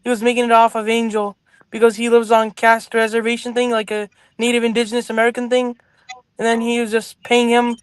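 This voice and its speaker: A man with a very high voice who keeps an even, flat tone as he argues earnestly on a talk show. His voice sounds dry and close, with no room around it. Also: a little fast, clear, resonant tone, no room sound, low-quality recording. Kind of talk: casual talk show commentary.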